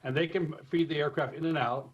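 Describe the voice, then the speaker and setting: A man with an American accent explaining things informally and in measured fashion as an expert guest in a live interview, over a remote feed. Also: fairly high pitch, fairly even intonation, slightly rough. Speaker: expert guest, former airport communications director. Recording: dull, slightly lo-fi remote feed.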